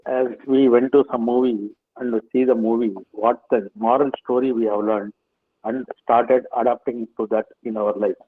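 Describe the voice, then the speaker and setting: A man's voice: mid-range voice, fairly even intonation, moderate pace, breathy edge. A man taking part in an online session, speaking up spontaneously as an audience member over a thin phone-like connection. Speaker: audience participant. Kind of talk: spontaneous spoken contribution. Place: online call. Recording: very tinny, phone-like call audio.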